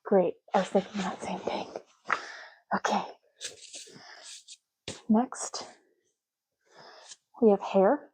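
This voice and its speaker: A woman speaking softly in an ASMR roleplay, hushed, unhurried and gently explanatory, close to the microphone. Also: medium pitch, fairly even intonation, slightly rough, no echo, rough recording. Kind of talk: soft-spoken ASMR roleplay.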